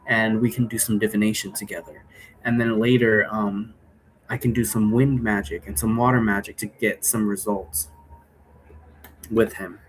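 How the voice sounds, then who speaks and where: A man's voice: on the low side, fairly even intonation, moderate pace, rough recording. Casual, rambling man with background noise. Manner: often tags sentences with a questioning "right?". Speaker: vlogger explaining role play rules. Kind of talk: casual, rambling explanatory monologue.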